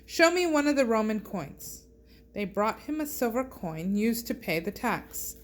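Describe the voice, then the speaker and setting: A woman reading scripture aloud with measured, deliberate phrasing. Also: on the high side, sing-song, a little hiss or hum. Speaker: scripture reader and host.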